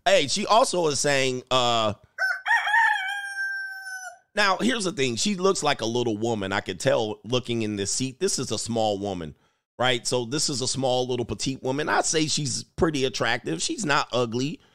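A man's voice: medium pitch, normal speed, fairly bright tone, no room sound. Rambling man reacting on a dry mic. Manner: rambling, joking, trails off mid-thought. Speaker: YouTube commentator. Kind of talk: casual reaction commentary.

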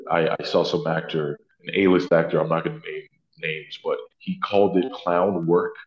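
A man with a deep baritone voice, talking earnestly as an actor guest in a casual interview, often pausing to correct himself. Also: flat delivery. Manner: earnest, thoughtful, self-correcting. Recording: roomy, slightly dull sound.

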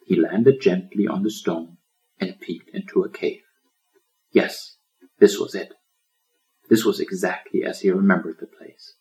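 Low, slow male storyteller. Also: fairly even intonation, darkish tone, rough recording. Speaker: author reading his audiobook. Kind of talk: scripted children's story narration.

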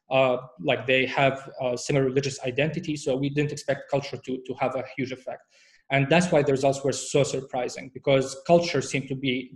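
A man, a researcher, answering interview questions in a measured way, with frequent fillers and restarts. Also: medium pitch. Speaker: researcher guest.

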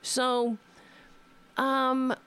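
A woman's voice: high voice, completely flat delivery, very slow, a bit bright, smooth. A woman in her fifties, a comedian hosting her own show, talking in a rambling, wry, casual monologue and swearing freely. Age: 50s.

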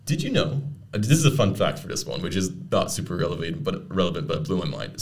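Low-voiced chatty young man. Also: darkish tone. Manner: chatty, shares fun facts with rising enthusiasm. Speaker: podcast co-host. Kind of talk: casual chat.

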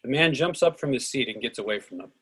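A man narrates a script's stage directions in short declarative sentences. His voice sits in a middle register with a husky, slightly rough grain. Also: medium pitch, animated, fast, fairly bright tone.

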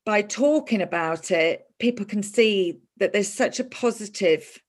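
A woman hosts a relaxed podcast chat with a friend. Her voice sits in a middle register. She talks loosely and keeps restarting her sentences. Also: medium pitch, unhurried. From moved to Ireland in 2000. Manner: chatty, warm with a friend, many fillers and restarts. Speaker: podcast host. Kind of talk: casual interview chat.

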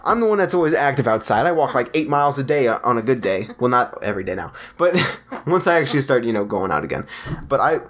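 A man's voice: medium pitch, big pitch swings, fast talker, a bit bright. A young man hosting a casual podcast, chatting in a loose, rambling way with plenty of restarts. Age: young adult. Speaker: podcast host.

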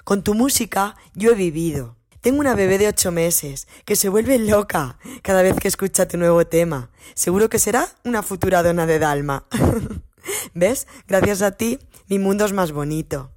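A woman's voice: fairly low pitch, moderate pace, a little mellow, dry recording, studio quality. A young adult woman with a Spain Spanish accent leaving an affectionate personal message as a fan. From Alicante, Spain.

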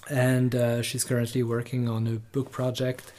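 A man hosting a podcast, reading a scripted intro and then interviewing a guest haltingly, with many filler pauses. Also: medium pitch, monotone, darkish tone. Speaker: podcast host.

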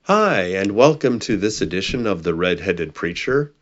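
Low-voiced American male pastor, slightly dull recording. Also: big pitch swings, moderate pace. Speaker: pastor, preacher and host. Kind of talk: informal sermon introduction and prayer.

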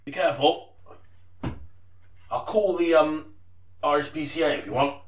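A man's voice: fairly high pitch, normal speed, distant mic, natural voice. A man playing a histrionic, easily flustered actor in an audio comedy, with a theatrical, grandiose delivery. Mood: nervous. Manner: theatrical, grandiose, prone to exasperated outbursts. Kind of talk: audio comedy sketch, dramatic narration.

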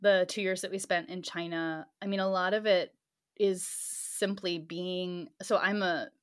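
A woman's voice: fairly low pitch, steady tone, a little slow, clear, resonant tone. Reflective woman, laughs lightly while talking. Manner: thoughtful, laughs often between phrases. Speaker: pastor and scholar. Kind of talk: interview answers.

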